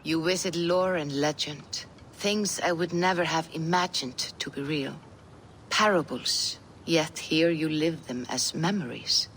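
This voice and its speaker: A gentle wise man from a historical game. His voice is slightly high for a man, thoughtful and full of inquiry. A faint rumble sits behind him. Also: unhurried. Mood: curious, intrigued. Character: curious, gentle male sage questioning a warrior.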